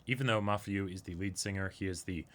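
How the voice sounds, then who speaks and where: A man's voice: sits low, fast, fairly bright tone. A man co-hosting a casual reaction discussion, agreeable and conversational, chiming in as he goes. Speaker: reaction show co-host.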